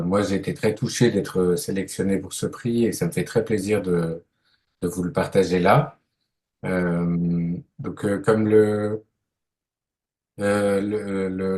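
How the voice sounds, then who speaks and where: A man's voice: lowish voice, a little mellow. A man, a physician and researcher, opening his talk on a video call, polite and repeating words as he starts. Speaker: guest speaker, physician researcher.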